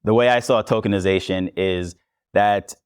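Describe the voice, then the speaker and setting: A man with a Jamaican accent, a podcast host speaking on a conference panel in a relaxed, conversational way. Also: lowish voice, moderate pace, clear, resonant tone, no room sound, studio quality. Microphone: lavalier mic.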